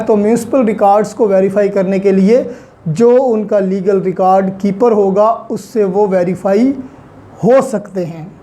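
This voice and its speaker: A woman's voice: medium pitch, mellow. A woman with a Pakistani accent giving an online lecture as a law teacher, explaining step by step, posing questions and answering them, with many English terms mixed in.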